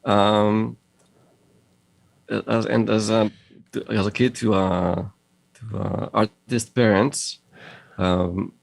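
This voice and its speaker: Middle-aged guy, russian-israeli accent, kinda gravelly low voice, dry close mic, lots of uhs. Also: darkish tone, no echo. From Moldova. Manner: halting, many fillers and restarts, laughs often. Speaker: guest, audio company founder. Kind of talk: casual interview conversation.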